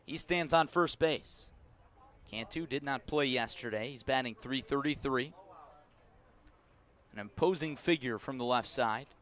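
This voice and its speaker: An American man doing live radio play-by-play of a baseball game in a measured, descriptive style. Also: mid-range voice. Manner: measured, descriptive calls that rise to excitement on big plays. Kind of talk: live radio sports commentary.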